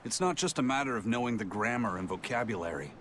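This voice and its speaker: Actor as a lively, thoughtful male companion. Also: mid-range voice, fast, a little hiss or hum, lightly acted. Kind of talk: video game cutscene acting.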